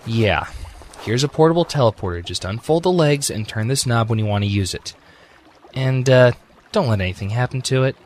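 Man as exasperated, animated echidna guardian. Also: medium pitch, brisk, slight background noise, close to an everyday voice. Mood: bossy. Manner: exasperated, bossy. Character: gruff, exasperated young male echidna guardian. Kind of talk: amateur radio drama acting.

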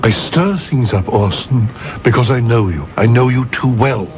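An actor playing a prim, aggrieved, principled theatre producer in a radio play, with a formal and reproachful way of talking. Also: male voice, medium pitch, normal speed, a little mellow, close to an everyday voice, rough recording. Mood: weary.

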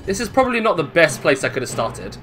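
A man's voice: on the high side, sing-song, fast talker, fairly bright tone, soft background music. A man giving casual, chatty gameplay commentary, thinking aloud and questioning himself as he goes. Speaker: gamer narrating a let's play.

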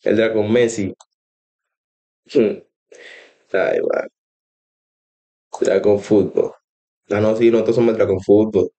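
Mellow young Colombian man reacting casually. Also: fairly low pitch, fairly even intonation, takes it slowly, long pauses. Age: young adult. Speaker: reaction streamer. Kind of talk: casual reaction commentary, slangy. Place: bedroom.

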